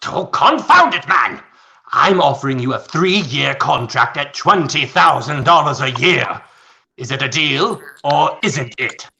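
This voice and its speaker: A man acting as a rich, condescending, scheming old tycoon in a radio play, with a gravelly, theatrical delivery. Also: fairly high pitch, lively intonation, measured pace, bright, forceful tone, full character voice. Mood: exasperated.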